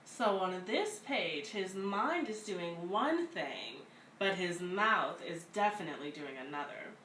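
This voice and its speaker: A woman reads a picture book aloud for young children, heard from a distance in an echoey room. Her delivery is expressive and deliberate. There is faint noise behind her. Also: lowish voice. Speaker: read-aloud story reader at a community center. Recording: roomy, distant mic, faint background noise.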